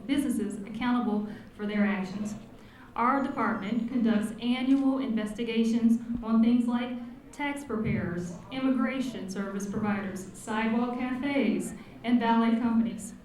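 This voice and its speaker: A woman delivers a formal, scripted address, her voice sitting a bit high. The sound rings through a large hall with strong echo. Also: dark tone. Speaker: city commissioner introducing speaker. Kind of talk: prepared speech. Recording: echoey hall.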